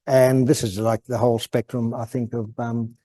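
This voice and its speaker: An Australian man giving an informal technical presentation, hesitant and halting as he thinks aloud. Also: mid-range voice, little pitch movement, warm tone. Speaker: guest presenter at an amateur radio club.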